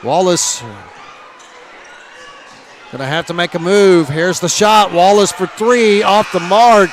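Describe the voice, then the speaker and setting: High-voiced local basketball announcer. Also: male voice, takes it slowly. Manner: energetic, filler-heavy. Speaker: play-by-play announcer. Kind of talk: live basketball commentary. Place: high school gym.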